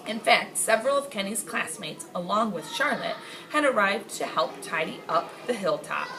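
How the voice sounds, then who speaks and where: A woman's voice: mid-range voice, lively intonation, moderate pace. A woman reading a children's chapter book aloud as narrator, expressively. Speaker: story reader. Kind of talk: expressive scripted storybook read-aloud. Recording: clearly noisy amateur recording.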